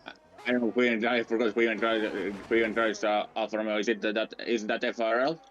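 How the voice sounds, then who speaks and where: A man's voice: lowish voice, flat delivery, fast, a bit bright, soft background music. A man giving casual color commentary on a sim race, hesitant with fillers. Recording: muffled, lo-fi mic.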